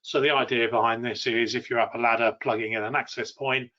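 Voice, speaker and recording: A man's voice: medium pitch, little pitch movement, brisk, sharp tone. Man demonstrating tech, dull lo-fi audio. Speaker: product demonstrator and technical trainer. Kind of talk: informal live technical product demo.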